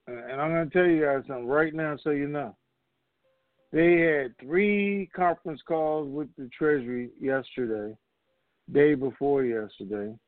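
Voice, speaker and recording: A man's voice: on the high side, gravelly, dry recording. A man hosting a conference call, talking to the group in a casual, rambling, folksy way. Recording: muffled, narrow-band phone conference line.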